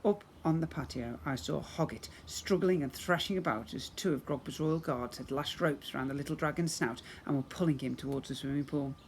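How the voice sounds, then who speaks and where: A man's voice: on the high side, fairly quick, few pauses. Man reading a children's story, noisy recording. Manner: expressive, dramatic storytelling. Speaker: teacher reading to pupils. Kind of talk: children's storybook read-aloud.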